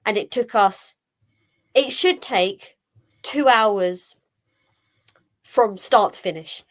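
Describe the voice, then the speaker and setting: A young woman from in her twenties, speaking at a mid pitch. She talks as a panelist, rambling a little and sounding fed up as she tells her story. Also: slow pace. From Essex, England. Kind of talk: casual panel chat.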